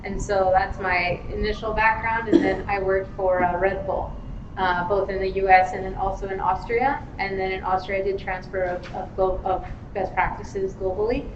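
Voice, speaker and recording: A woman speaks on a panel in a chatty, conversational way, with many fillers and restarts. Her voice stays fairly flat in pitch. The sound is muffled, and a roomy echo hangs over it. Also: moderate pace. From Argentina, grew up in Los Angeles. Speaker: panel speaker, former innovation head. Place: event space with live audience. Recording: echoey room, dull sound, noisy background.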